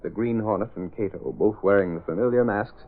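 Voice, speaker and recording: An actor playing the narrator of an old radio serial, setting scenes in sober scripted prose. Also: male voice, lowish voice, fairly quick, lightly acted. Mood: measured. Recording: muffled old radio, faint music.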